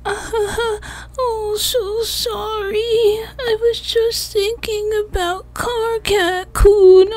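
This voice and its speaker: Whiny, lovesick cartoon anime princess, piercingly high, very slow delivery. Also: female voice, fairly even intonation, a little mellow, exaggerated voice. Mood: whimpering, apologetic. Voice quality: squeaky, girlish. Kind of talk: parody anime fandub acting. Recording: music behind the voice.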